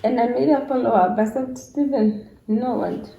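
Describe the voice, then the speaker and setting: A woman's voice: fairly high pitch, normal speed, creaky, dark tone, muffled recording. South African woman storytelling in an echoey room. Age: middle-aged. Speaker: YouTuber's mother telling a story as guest. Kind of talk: casual conversational storytelling. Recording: roomy, echoing indoor sound.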